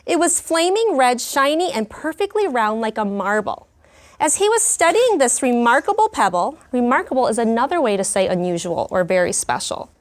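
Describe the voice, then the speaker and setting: Woman, higher voice, primary school teacher, simple explanatory delivery, instructional. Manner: clear, child-friendly explanations with questions to pupils. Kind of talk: reading aloud and teaching young children, explaining her method.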